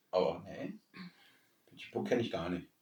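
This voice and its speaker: A man's voice: deep voice, recorded from a distance. A man chatting informally as a podcast co-host, in a wry, everyday way. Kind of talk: casual chat. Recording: roomy echo, off the mic.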